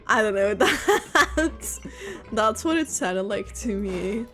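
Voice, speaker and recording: A woman's voice: medium pitch, lively intonation, takes it slowly, fairly bright tone, soft background music. A woman gaming streamer giving casual, puzzled playthrough reactions in short asides.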